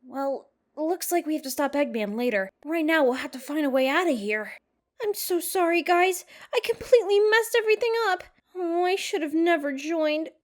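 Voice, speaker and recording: A woman voicing a bright, earnest young fox inventor who explains things eagerly in an animated fan dub. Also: high voice, normal speed. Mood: determined, then guilty, upset.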